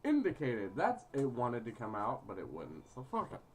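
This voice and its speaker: A woman with a very low voice gives crude, casual game commentary, swinging widely in pitch. She stumbles as she reads aloud and swears freely, with faint noise behind her. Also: wildly swooping pitch, normal speed. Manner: foul-mouthed, stumbling over reading. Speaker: gaming video host.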